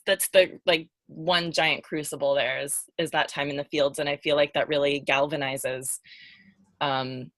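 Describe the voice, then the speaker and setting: A woman's voice: deep voice, a bit bright, no echo. A woman talking informally as a podcast panelist, thinking aloud and searching for her words as she goes. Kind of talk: casual unscripted discussion.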